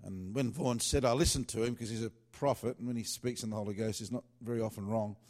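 Chatty man with a lowish voice. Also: steady tone, fast, a little mellow. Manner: loose and chatty, with many fillers and restarts. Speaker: worship singer-songwriter. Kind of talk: casual rambling talk.

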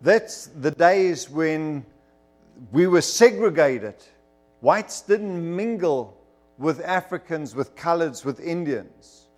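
Middle-aged male preacher, emphatic storyteller, a touch high-voiced, soft music under him. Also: expressive, takes it slowly. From Zimbabwe. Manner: emphatic storytelling, rising to bursts of fervor. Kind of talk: sermon testimony to a congregation.

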